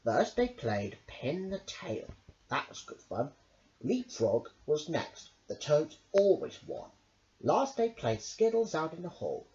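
Slow, hugely swooping male storyteller. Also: fairly high pitch, big pitch swings, a little mellow. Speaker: storyteller, channel host. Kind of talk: children's picture-book narration. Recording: dull lo-fi home recording.

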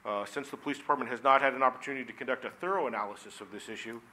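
Man in his 40s, police chief, brisk formal briefing at a desk mic, bright edge. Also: medium pitch, slight background noise. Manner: formal, reads from notes with many "uh"s. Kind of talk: formal report at a council meeting. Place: council chamber, seated at a desk. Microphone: desk microphone.

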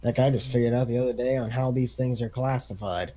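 A man's voice: mid-range voice, fairly even intonation, fairly quick, mellow, natural voice. A man playing an easygoing farm equipment dealership salesman in casual, rambling improvised game role-play. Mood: casual, businesslike.

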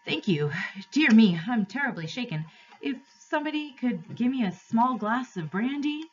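A woman voicing a doddery old gentleman, shaken and feeble, with a faint, polite, flustered manner. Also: a little high, animated, normal speed, dull audio, pitched above the performer's own voice.

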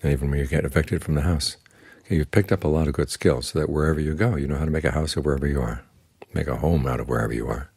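An older man, a Buddhist monk, giving a slow, calm, plainspoken guided meditation talk. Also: very deep voice, gravelly, breathy edge, dry recording. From United States.

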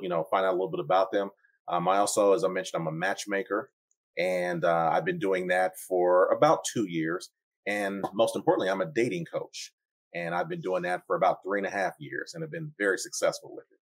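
A man's voice: low-pitched, dry recording. A middle-aged man, a dating coach, answering conversationally as a guest on a video call from home. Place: living room at home.

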